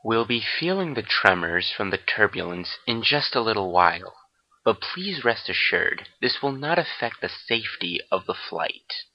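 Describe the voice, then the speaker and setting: A courteous airline captain played by a man gives a formal cabin announcement. His delivery is official and reassuring with lively pitch, and the sound is dull and muffled. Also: moderate pace. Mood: calm, reassuring.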